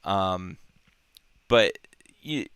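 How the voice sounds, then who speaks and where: A man's voice: sits low, expressive, drawn-out words, a bit bright, smooth, no echo. A man casually discussing a book as a host, rambling and thinking aloud as he goes. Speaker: book club podcast host.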